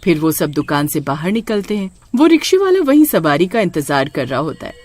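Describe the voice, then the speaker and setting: A woman's voice: lowish voice, sing-song, a little fast, darkish tone. A woman narrating a scripted horror story.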